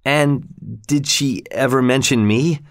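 A man's voice: medium pitch, animated, unhurried, no echo, clean studio sound, close to an everyday voice. A man voicing a tormented, passionate male lover from a storybook, brooding and vengeful, with an intense, anguished delivery. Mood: bitter grief.

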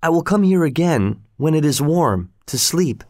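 A man voicing a young Hawaiian man, bewildered and curious, with a high, rising, wondering voice. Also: animated, normal speed, dark tone, no room sound, clean studio sound, lightly acted.

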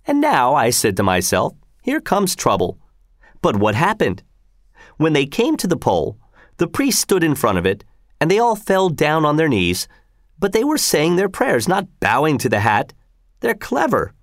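Storybook soldier guard, played by a male reader: exasperated, sarcastic grumbler, very animated intonation, close dry sound. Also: on the high side, normal speed, dry recording, voice pushed up. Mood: grudging, exasperated. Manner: complaining, emphatic, repeating himself. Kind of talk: storybook character voice.

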